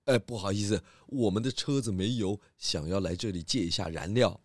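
A man's voice: fairly low pitch, brisk, dry recording, studio quality, natural voice. A man voicing a composed, polite pig police detective who hesitates slightly, in a children's audio drama. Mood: apologetic.

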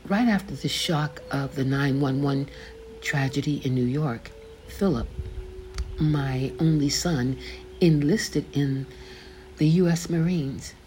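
An older woman reading her own faith memoir aloud, slowly and deliberately, pausing to gloss the names in scripture. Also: low voice, dark tone, slight background noise, poor audio. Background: background music.

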